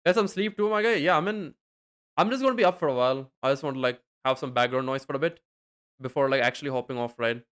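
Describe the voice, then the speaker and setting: A man's voice: medium pitch, fast talker, a bit bright, clear, resonant tone. A young man streaming late at night, chatting loosely with his viewers, full of fillers and casual swearing. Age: young adult. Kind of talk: casual stream chat reading chat.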